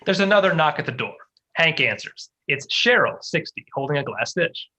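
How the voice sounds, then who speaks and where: A reader delivers screenplay action lines as narration. The voice is mid-pitched, husky and sharp-edged. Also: animated, normal speed. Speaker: stage-direction reader. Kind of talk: scripted narration of screenplay directions.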